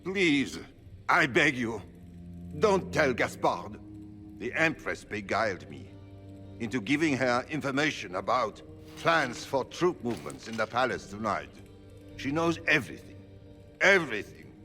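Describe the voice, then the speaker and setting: Nobleman, male: flustered, desperate pleading, bright and animated, long reverb. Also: mid-range voice, unhurried, faint music behind. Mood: panicked. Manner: anxious, pleading. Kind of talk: video game cutscene dialogue.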